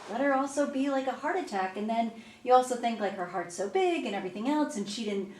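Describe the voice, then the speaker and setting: A woman's voice: a little high, animated, fast, darkish tone. A woman offering critique in a casual group discussion. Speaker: participant in a play discussion group. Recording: echoey room, distant mic, background noise.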